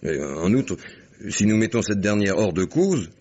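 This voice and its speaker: An actor playing a calm, measured police investigator in an old French radio drama, faintly amused. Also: male voice, on the low side, breathy edge, muffled recording.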